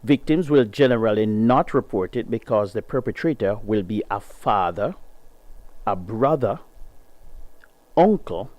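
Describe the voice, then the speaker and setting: A man's voice: darkish tone, no room sound. A middle-aged Jamaican man hosting a gospel radio show, reading an article aloud and then speaking earnestly and emphatically. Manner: earnest, emphatic, rises in pitch to stress points. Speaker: radio host.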